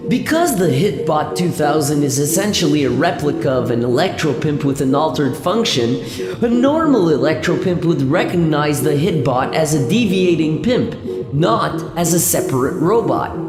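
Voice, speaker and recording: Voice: medium pitch, sing-song, normal speed. A narrator reading a scripted science fiction radio serial in a storytelling style. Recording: echoey long reverb. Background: music.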